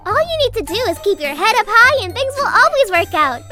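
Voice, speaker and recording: A woman's voice: very high-pitched, big pitch swings, a little fast, loud music behind the voice. A woman playing a perky, upbeat young herbalist girl in a fan audio drama, earnest and encouraging. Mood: cheerful, encouraging.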